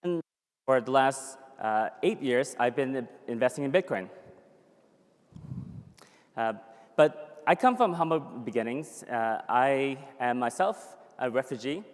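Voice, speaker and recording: Man pitching in a reverberant conference hall. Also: lively intonation, unhurried. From born in a refugee camp in Thailand, raised in the US. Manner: upbeat, pitching, with fillers and restarts. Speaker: conference speaker. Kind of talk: conference presentation.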